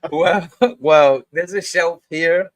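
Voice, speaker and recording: A man's voice: a little high, slow, dry recording. A man being interviewed on a video call, laid-back and easygoing, often repeating himself and laughing. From New York state. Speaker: guest. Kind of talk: casual interview chat.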